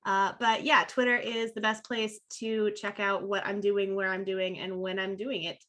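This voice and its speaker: Woman streamer doing a casual plug, bright crisp-edged voice, medium pitch. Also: little pitch movement, normal speed. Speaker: guest streamer introducing their channel. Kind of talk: casual self-introduction on livestream.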